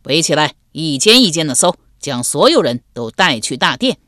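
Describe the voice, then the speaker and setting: Female narrator as male general, gruff, commanding, low-pitched, sardonic, audiobook drama, dry recording. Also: sing-song, fairly quick, dry recording. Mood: commanding, scornful. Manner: barking, authoritative.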